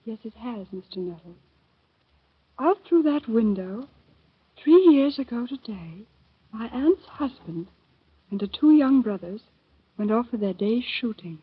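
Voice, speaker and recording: An actress playing a calm, sly teenage girl telling a grave tale, in 1930s radio acting style. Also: fairly high pitch, unhurried, pauses often, husky, exaggerated voice. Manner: composed, soft, grave. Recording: thin phone-like old radio recording.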